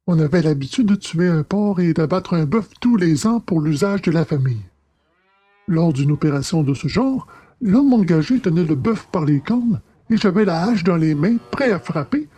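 Guy doing a cheery young country lawyer, high husky voice, audiobook tale. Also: animated, moderate pace, mellow, dry recording. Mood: jovial storytelling. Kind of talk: audiobook character dialogue.

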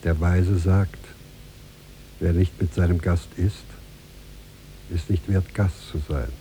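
A man's voice: sits low, steady tone, slow, dark tone. A man playing a scheming, gloating old merchant villain in a theatrical 1950s German radio play. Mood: wheedling, insistent. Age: older. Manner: insistent, theatrical, with wheedling servility and gloating. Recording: noisy 1950s radio recording.

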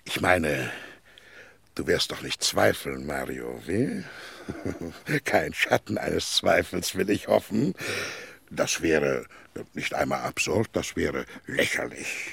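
A man's voice: a bass voice, big pitch swings, slow pace, vocal fry, fairly bright tone, slightly rough, dry recording, exaggerated voice. A man acting in a German radio play as a vain, powerful tycoon, pompous and urgent as he argues his case. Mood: scornful, insistent. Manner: pompous, urgent, persuasive, swinging between boasting and confiding.

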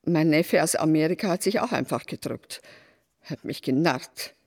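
A woman's voice: deep voice, expressive, normal speed, a little mellow. An actress playing an indignant, gossiping townswoman with a scornful, aggrieved manner in a radio drama.

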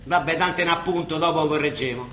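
An actor playing a weary, ironic papal judge in a radio play, with a Roman accent, declaiming and dropping into wry colloquial asides. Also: male voice, on the high side, fast talker, bright, forceful tone, reverberant, slight background noise. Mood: wry, irritated. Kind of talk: theatrical radio drama dialogue.

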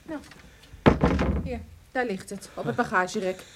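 A woman's voice: mid-range voice, measured pace, heavy background noise, lightly acted. An actress playing a cool, teasing young woman in a radio drama, speaking in a matter-of-fact way. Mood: calm, reassuring.

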